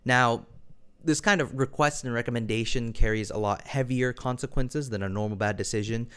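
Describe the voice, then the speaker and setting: A man giving an opinion monologue as an online video commentator, measured and hedging his points with frequent personal qualifiers. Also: mid-range voice, fairly bright tone, clear, resonant tone.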